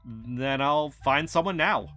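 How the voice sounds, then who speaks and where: A man's voice: medium pitch, animated, sharp tone, soft background music. A man playing a determined amateur investigator who argues persuasively in an audio drama. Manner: persuasive, deliberate. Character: Kyle, determined amateur investigator pitching plans.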